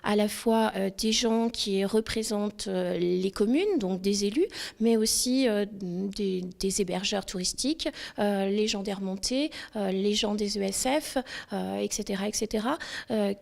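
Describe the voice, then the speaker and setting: A woman's voice: mid-range voice, a little slow, gravelly, hardly any pauses, darkish tone. A woman explaining her work in an interview, piece by piece with many hesitations. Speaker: tourist office representative.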